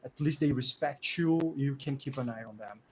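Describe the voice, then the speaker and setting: A man voicing a troubled, anxious young woman who asks halting, confused questions. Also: mid-range voice, darkish tone, slight background noise, close to an everyday voice, rough recording.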